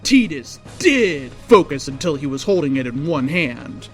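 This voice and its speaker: Female storyteller, theatrical delivery with drawn-out emphasis, reading fan fiction over a light music bed. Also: sits low, sing-song. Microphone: microphone without pop filter. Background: faint music.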